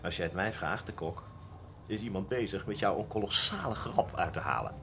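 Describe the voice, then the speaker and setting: An actor playing a sceptical young police detective, an eager sidekick in a radio drama, animated and quick to protest. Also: male voice, low voice, gravelly, a little hiss or hum, heavily acted. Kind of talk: Dutch radio drama acting.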